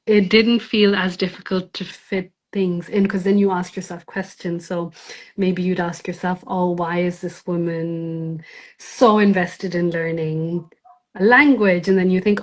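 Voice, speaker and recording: Thoughtful woman from Pakistan, hesitant. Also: on the low side. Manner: thoughtful, hesitant, many fillers. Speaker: novelist guest. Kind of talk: casual interview talk.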